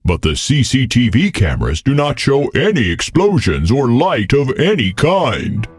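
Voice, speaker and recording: Husky cartoon bison police captain. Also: male voice, mid-range voice, wildly swooping pitch, measured pace, dry recording, studio quality, exaggerated voice. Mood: serious, reporting. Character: serious male bison police captain, dutiful. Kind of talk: children's cartoon voice acting.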